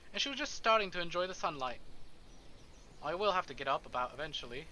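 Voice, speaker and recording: A man reading a visual novel aloud on a stream, narrating a character's thoughts in an expressive, theatrical way. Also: fairly high pitch, fast. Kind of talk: dramatic narration of a character's inner monologue. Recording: faint background noise.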